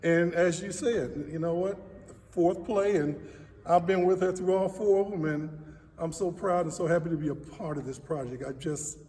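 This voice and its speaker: A man who directs stage plays, telling personal stories in an interview in an earnest, animated way. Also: a little high, moderate pace. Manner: earnest, animated storytelling with acted-out quotes. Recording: echoey hall, distant microphone.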